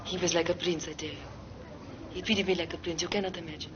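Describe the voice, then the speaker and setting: An actress playing a talkative Parisian streetwalker, rambling and confiding, sometimes dropping to a whisper, on a dull, noisy old film soundtrack. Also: female voice, lowish voice, steady tone, quick pace, close to an everyday voice. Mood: wistful.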